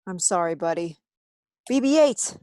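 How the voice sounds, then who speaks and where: A woman's voice: fairly low pitch, fast talker, dry recording, studio quality. A woman playing an impassioned, urgent resistance soldier in a sci-fi audio drama. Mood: tender resolve. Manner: urgent, emphatic.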